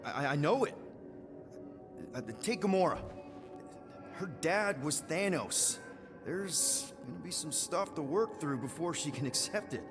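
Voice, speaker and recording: Flustered young space captain in a game, voice jumps all over, casual and stammery, music behind, echoey. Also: male voice, on the high side, lively intonation, unhurried, pauses often. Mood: nervous pleading. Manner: stammering, informal, pleading. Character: earnest, flustered young male spacefaring team leader. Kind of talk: video game cutscene acting.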